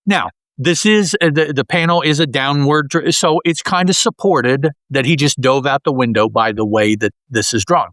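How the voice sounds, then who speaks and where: A man's voice: medium pitch, moderate pace, hardly any pauses, a bit bright, no room sound. A man co-hosting a podcast, describing comic panels in a casual, rambling way with many restarts. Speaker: podcast co-host. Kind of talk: casual comic-book discussion.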